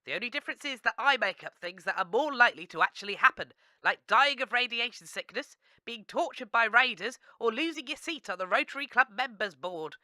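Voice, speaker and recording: A woman's voice: a little high, lively intonation, fairly quick, hardly any pauses, bright, forceful tone, no echo. A woman with a British accent playing a brazen, unapologetic fortune teller in a radio comedy. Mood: blunt, unapologetic. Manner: matter-of-fact, unapologetic. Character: brazen, blunt post-apocalyptic female fortune teller. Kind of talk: comic radio acting.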